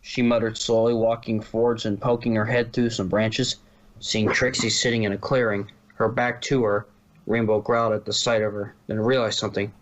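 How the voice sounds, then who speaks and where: A man's voice: on the low side, flat delivery, moderate pace, poor audio. A man reading scripted story narration, now and then stumbling and rereading a phrase.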